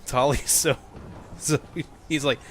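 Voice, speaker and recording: A man co-hosting with casual comic banter, giggly and excitable as he heckles and acts out jokes. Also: medium pitch, slow. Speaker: co-host heckling the reading.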